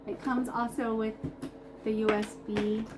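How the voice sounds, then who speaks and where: A woman presents a casual hands-on unboxing in a fairly high voice. She takes her time between phrases, reviewing items as she goes. The home recording carries clear background noise. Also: flat delivery, measured pace. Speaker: product reviewer. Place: upstairs room in a brick house.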